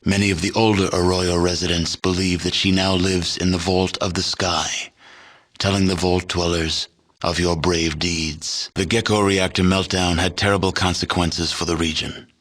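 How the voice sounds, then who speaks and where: A man playing a grave, solemn narrator of a post-apocalyptic video game, telling the tale in measured, deliberate scripted narration. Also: deep voice, flat delivery, fairly bright tone.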